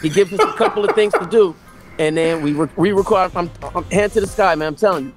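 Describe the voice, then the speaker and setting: A man's voice: high-pitched, fast, over loud music. A middle-aged man from Los Angeles, a singer telling studio stories on a video call, acting out what others said. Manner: animated storyteller, acts out quotes. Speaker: R&B singer, guest. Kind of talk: casual storytelling interview. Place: small room indoors. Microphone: webcam on a video call.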